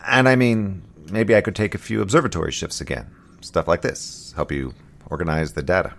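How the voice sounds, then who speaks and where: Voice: low-pitched, creaky. An actor playing an awkward, sincere crew member in an audio drama, speaking hesitantly. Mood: tentative, eager. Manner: hesitant, halting. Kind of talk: naturalistic audio drama acting.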